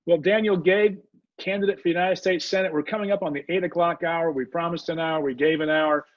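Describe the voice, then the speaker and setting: An older man hosting a radio talk show, speaking in halting, rambling sentences full of fillers. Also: fairly high pitch, fairly even intonation, no echo. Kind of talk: radio interview chat. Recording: muffled dry audio.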